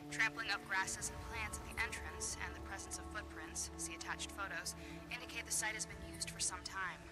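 Performer playing game scientist, clinical, precise, cautious, firm, medium-pitched voice, music behind. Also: a little fast, close to an everyday voice. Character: methodical field researcher, cautious and firm. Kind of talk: video game acting, dictated field notes and dialogue.

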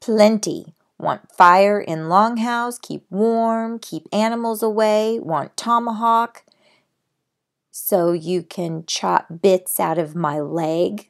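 A woman's voice: medium pitch, takes it slowly, gravelly, voice pushed up. A woman voicing a small, proud warrior man who speaks in clipped, broken phrases. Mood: demanding.

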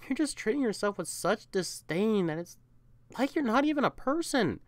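A woman's voice: on the high side, very animated, normal speed, no room sound, voice pushed up. A woman voicing an affectionate, protective young catperson comforting a lover in a roleplay audio, tender, coaxing and emphatic. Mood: upset, pleading.

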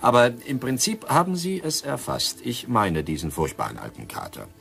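An actor playing an old doctor in a radio crime play, dry, pedantic and bantering. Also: male voice, medium pitch, huge pitch swings, moderate pace, vocal fry, fairly bright tone, exaggerated voice. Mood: insistent. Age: older. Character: self-pitying, teasing old doctor at a card table.

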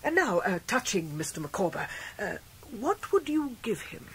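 A female actor playing a brisk, kind-hearted elderly English great-aunt in a radio drama, husky and decisive. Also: mid-range voice, very animated, fairly bright tone, slight background noise. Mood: earnest. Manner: clipped, decisive. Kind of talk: 1990s radio drama acting.

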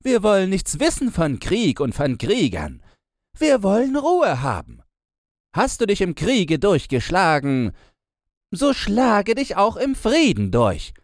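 Man doing a high, scornful, gruff brother. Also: wildly swooping pitch, takes it slowly, dry recording, clean studio sound, full character voice. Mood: scornful, indignant. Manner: indignant, dismissive. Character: gruff, scornful brothers turning a soldier away.

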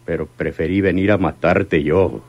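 An actor playing a menacing, lovesick crime boss in a radio drama, speaking deliberately and bitterly. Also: male voice, deep voice, fairly even intonation, darkish tone, no room sound, lo-fi sound. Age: older. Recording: noisy old radio drama recording.

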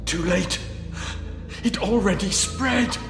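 An actor playing a wounded older monk healer in a fantasy game, strained with pain, halting and pleading. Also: male voice, medium pitch, lightly acted. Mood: desperate, pained. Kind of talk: fantasy game cutscene acting. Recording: echoey hall with music underneath.

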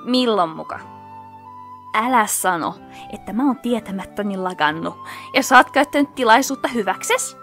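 A woman's voice: mid-range voice, lively intonation, a bit bright, soft background music. A woman playing a prim, suspicious teenage girl fighter in an anime parody dub. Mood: defensive.

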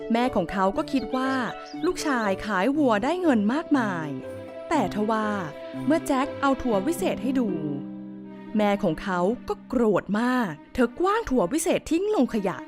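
A woman's voice: on the high side, big pitch swings, normal speed, music in the background. A woman narrating a scripted children's fairy tale as a storyteller.

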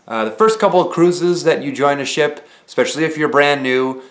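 A man's voice: on the high side, a little fast, fairly bright tone. Guy in his 30s vlogging advice at home, chatty with lots of ums, kinda echoey room sound. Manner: conversational, explanatory, frequent "uh" and "um" fillers. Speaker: vlogger and former cruise ship entertainer. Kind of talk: casual advice vlog to camera. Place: small room at home, indoors. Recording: roomy echo from camera mic.

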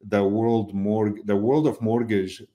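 A man's voice: on the low side, fairly even intonation, slow pace, mellow. A middle-aged man from Lebanon, a mortgage business owner, talking casually in an interview over a video call from his office, thoughtful and full of fillers and restarts. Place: indoor office. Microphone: video call webcam mic.

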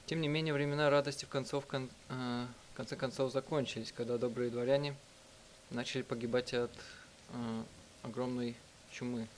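A man reading out a live translation of a visual novel in a halting, self-correcting way. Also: medium pitch, little pitch movement, slight background noise. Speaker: let's player reading out translations. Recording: music behind the voice. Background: game music.

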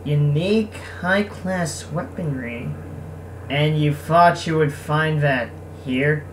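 A woman's voice: deep voice, slow, voice pushed down. A woman voicing a proud, sarcastic young male craftsman in a visual novel game. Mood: irritated. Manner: sarcastic, boastful. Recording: distant mic, faint background noise.

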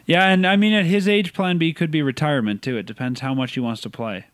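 A man co-hosting a podcast, giving opinionated, animated takes in casual sports chat. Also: on the high side, fast, no echo. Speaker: podcast co-host.

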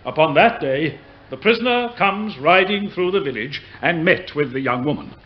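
A man acts out a formal prosecutor in a period courtroom drama. His voice is high and highly varied in pitch, earnest and measured, on a slightly muffled recording. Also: expressive, natural voice. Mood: grave, narrating. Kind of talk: period radio play acting.

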